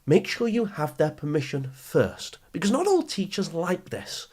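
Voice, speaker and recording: A man's voice: a little high, wildly swooping pitch, a little slow, darkish tone, husky. A man hosting a motivational podcast for teenagers, speaking in an upbeat, emphatic coaching manner and addressing the listener directly. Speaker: podcast host.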